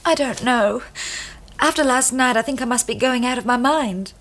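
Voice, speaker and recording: An actress plays an impressionable young wife in a radio drama. Her voice sits a little high. She speaks earnestly and with open feeling, without any airs. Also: moderate pace, a bit bright, lightly acted. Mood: anxious, ashamed. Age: young adult. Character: impressionable, anxious young married woman, unpretentious.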